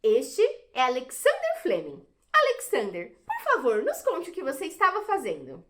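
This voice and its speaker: A woman's voice: sits high, wildly swooping pitch, moderate pace, fairly bright tone, full character voice. A woman playing a theatrical, mischievous fairy guide in an audio drama, enthusiastic and dramatic. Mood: eager explaining.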